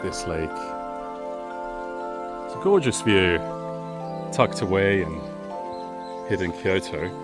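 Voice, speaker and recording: A man's voice: deep voice, animated, takes it slowly, pauses often, loud music behind the voice. A man whose native language is Bosnian, walking outdoors through a park and giving chatty, wordy travel vlog commentary. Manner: chatty, wordy, with sightseeing flourishes. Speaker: travel vlogger.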